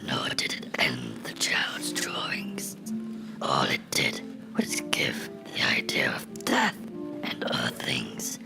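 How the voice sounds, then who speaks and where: A man voicing a bitter, menacing cartoon character in a slow, brooding horror ASMR monologue, whispering in a breathy, gravelly voice. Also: extremely low pitch, wildly swooping pitch, exaggerated voice. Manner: slow, deliberate, brooding storytelling with dramatic pauses. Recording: whispered with music underneath.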